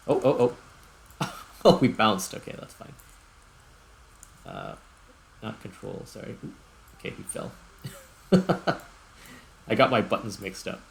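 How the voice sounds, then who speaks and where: A man giving casual video game commentary as host, thinking aloud in short fragments. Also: lowish voice. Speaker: gaming YouTuber, host. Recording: clear background noise.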